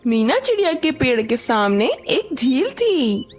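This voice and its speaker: A woman's voice: high voice, very animated, unhurried. A woman narrating a scripted children's story as its narrator.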